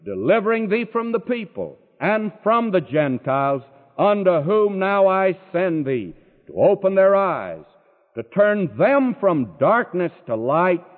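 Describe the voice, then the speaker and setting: A man's voice: sits high, big pitch swings, measured pace. A man preaching a sermon dramatically, his voice rising when he quotes scripture, recorded long ago. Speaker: pastor. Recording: echoey hall, tinny old recording.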